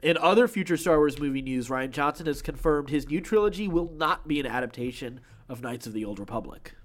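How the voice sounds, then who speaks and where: A man with a somewhat high-pitched voice co-hosts a pop culture podcast. He moves between reading out news items and chatting casually about them. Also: animated, a little fast. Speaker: podcast co-host.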